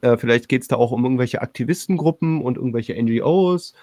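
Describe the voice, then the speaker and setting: A man's voice: medium pitch, fast, a little mellow, dry recording, clean studio sound. A man co-hosting a casual panel discussion, speaking in a tentative, hedging way. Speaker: podcast co-host.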